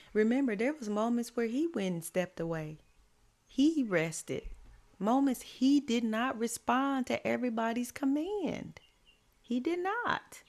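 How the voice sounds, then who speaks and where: A woman life coach with a slightly hoarse, raspy voice, giving slow, encouraging faith-based advice. She repeats her key lines and adds affirming "mm-hmm" asides. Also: on the low side, darkish tone. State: voice a bit hoarse.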